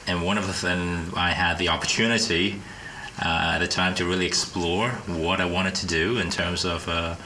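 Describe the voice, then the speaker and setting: A man's voice: deep voice, level tone, normal speed, sharp tone, poor audio. A middle-aged man from California, a business owner answering interview questions, hesitant, with many fillers and restarts. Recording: studio with clear background noise.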